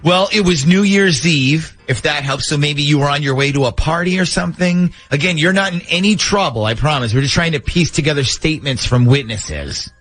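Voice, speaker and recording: A man with a slightly high voice works as a morning radio host. He talks in the style of a call-in prank segment, with faint music underneath. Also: fairly quick, hardly any pauses, fairly bright tone.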